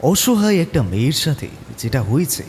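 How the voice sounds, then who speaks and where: A man's voice: fairly high pitch, very animated, moderate pace, a little mellow. A man narrating a scripted story dramatically, with rain and thunder sounds behind. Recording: storm noise behind voice. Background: storm, rain and thunder effects.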